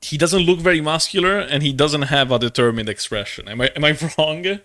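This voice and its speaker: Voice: mid-range voice, fairly quick, a bit bright. A game streamer giving casual live commentary, rambling and repeating words while reacting aloud. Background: game music and dialogue.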